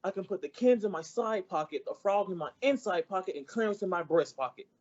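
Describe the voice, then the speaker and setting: An eager, folksy teenage boy played by a man in a radio comedy, earnest and polite. The voice is soft-edged and mellow, on a slightly muffled recording. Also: high voice, expressive, fast talker. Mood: cheerful, earnest. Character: eager, naive, good-natured teenage schoolboy. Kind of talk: old-time radio comedy acting.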